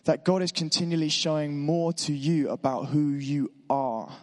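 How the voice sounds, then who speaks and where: A man preaching a sermon in church, encouraging and informal, his voice a little hoarse from being unwell. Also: on the high side, fairly even intonation, moderate pace. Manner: warm, encouraging, informal with asides. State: slightly hoarse voice. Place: church hall. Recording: long hall reverb.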